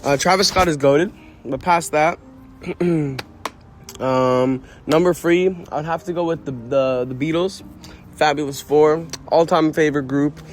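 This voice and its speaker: A man's voice: fairly high pitch, unhurried, a little hiss or hum. A young man from Quebec, a musician being interviewed, talking casually with many fillers and restarts. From Quebec, Canada. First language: French. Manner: rambling, lots of fillers and restarts, slangy.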